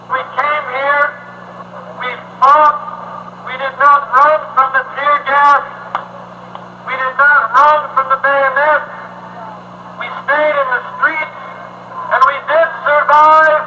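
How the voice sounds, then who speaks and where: A man gives a fiery activist speech in forceful, rhythmic, repeated phrases. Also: extremely high pitch, muffled recording. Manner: forceful, rhythmic, repetitive oratory. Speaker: activist speaker. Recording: echoey archival recording, faint noise.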